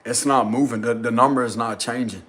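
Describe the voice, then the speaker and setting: Guy with a deep loud voice filming in a little room, hyped up, slangy, keeps pushing his point, mid-pitch. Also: a little fast, slightly rough. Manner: excited, insistent, slangy. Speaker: spiritual vlogger. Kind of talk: casual vlog to camera. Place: small rented room indoors.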